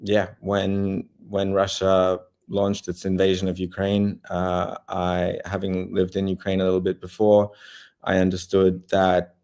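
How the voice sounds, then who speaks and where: A young man with an Australian accent talks in a deep, even voice that barely rises or falls. He answers at length, stopping and restarting often and filling gaps with "uh". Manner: halting, many fillers and restarts. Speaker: journalist guest. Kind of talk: interview answers.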